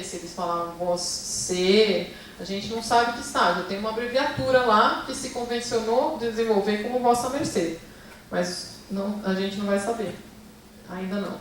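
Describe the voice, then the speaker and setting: A woman's voice: mid-range voice, slight background noise. A woman with a Brazilian Portuguese accent giving a seminar talk on her research, hesitant, with many fillers and restarts while asking for the next slide. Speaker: seminar lecturer. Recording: echoey hall, speaker far from mic.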